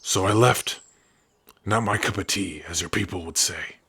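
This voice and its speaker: Male actor as kind-hearted orc outcast for fantasy ASMR: low, forceful, gruff and raspy, wry and protective. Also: moderate pace, dry recording, full character voice. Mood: wry, reflective. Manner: easygoing, wry, addressing a companion directly. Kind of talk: ASMR fantasy roleplay acting.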